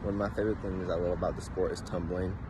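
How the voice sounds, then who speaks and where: A man's voice: deep voice, little pitch movement, fast, background noise. A man from Oregon, a gymnast, speaking in a plain, matter-of-fact way outdoors. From Oregon, USA.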